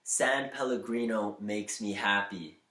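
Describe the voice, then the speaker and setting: A man with a slightly nasal voice giving upbeat, spiritual advice on using your voice, shifting between quick bursts and slow, deliberate lines. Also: fairly low pitch, steady tone, pushed and bright, echoey room. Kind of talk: motivational talk to camera.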